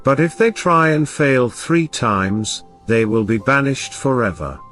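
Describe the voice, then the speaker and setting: A gentle storybook king read by a male narrator speaks in formal, measured proclamations. The delivery is slow, with expressive rises and falls. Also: medium pitch, faint music behind. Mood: solemn, proclaiming. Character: wise, gentle old king making solemn royal announcements.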